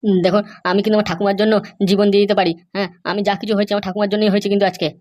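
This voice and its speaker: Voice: mid-range voice, flat delivery, darkish tone, natural voice. A performer voicing an anxious young woman in a cartoon comedy, pleading and insistent. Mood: insistent, worried. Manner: pleading, insistent, halting starts. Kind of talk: amateur cartoon comedy dialogue.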